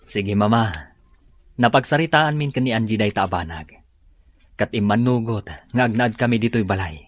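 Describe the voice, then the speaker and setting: A man's voice: lowish voice, moderate pace, close to an everyday voice. An actor with a Filipino accent playing a considerate young husband, concerned for his wife, in a radio drama. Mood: agreeable. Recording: dry studio, faint music behind.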